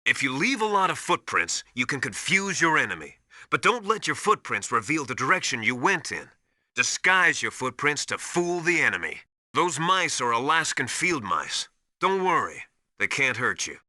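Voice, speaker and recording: A very bright, close and dry male voice with lively intonation. He is a seasoned military survival mentor in a video game, giving practical, encouraging briefings in a matter-of-fact way. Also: mid-range voice, normal speed, no echo. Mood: instructive.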